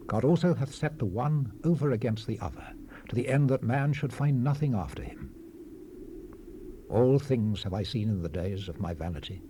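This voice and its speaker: An actor playing a solemn Old Testament preacher, reading scripture in a measured, oratorical manner. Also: male voice, fairly low pitch, dark tone, natural voice. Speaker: Bible narrator, voice actor. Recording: hissy unprocessed 1970s cassette rip.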